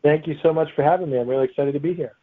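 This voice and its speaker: A man's voice: fairly high pitch, racing, slightly rough. Man on a tinny phone-like line. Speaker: author being interviewed. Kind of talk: casual interview answers.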